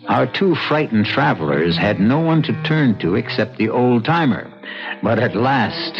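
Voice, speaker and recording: An American man narrating a mystery radio drama as its host. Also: mid-range voice. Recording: muffled old radio recording, loud music.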